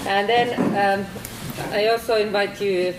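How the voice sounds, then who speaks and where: A woman moderating a seminar Q&A, polite and brisk as she manages turns. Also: mid-range voice, fairly even intonation. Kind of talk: chairing a Q&A. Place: university seminar hall. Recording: echoey hall, distant mic, loud background noise.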